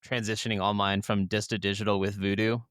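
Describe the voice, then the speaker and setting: A man's voice: on the low side, level tone, fast talker, fairly bright tone, no echo, studio quality. A man co-hosting a podcast, chatting casually and informally about video games. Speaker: podcast co-host.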